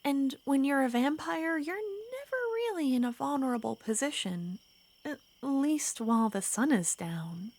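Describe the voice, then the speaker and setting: A woman voicing an ancient, lovesick female vampire who pleads softly and slips into whispers, in an ASMR roleplay. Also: sits high, animated, a little slow. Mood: tender. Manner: soft, pleading, drifting into whispers.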